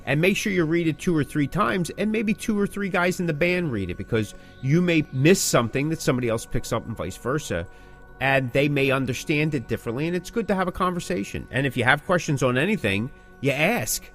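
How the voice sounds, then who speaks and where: Emphatic, high-pitched male podcast co-host. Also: lively intonation, fairly quick, fairly bright tone, faint music behind. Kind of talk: casual talk about the music business, with scripted sign-offs.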